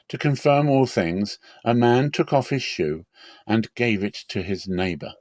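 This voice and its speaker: A man's voice: lowish voice, dry recording. A man reading a Bible story aloud as a narrator, in a dramatic scripted style.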